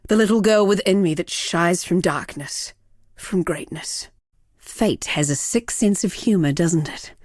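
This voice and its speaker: An actress playing a proud, seductive, unrepentant villainess sorceress in a video game, with a measured, theatrical, sardonic delivery. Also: female voice, lowish voice, darkish tone, no room sound, studio quality. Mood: wistful, sardonic. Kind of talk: dramatic video game character acting.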